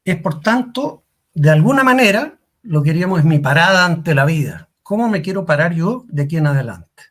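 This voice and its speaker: A man's voice: a little high, sing-song, brisk. A middle-aged man giving a career workshop talk as a coach, asking rhetorical questions and repeating key lines for emphasis. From Chile.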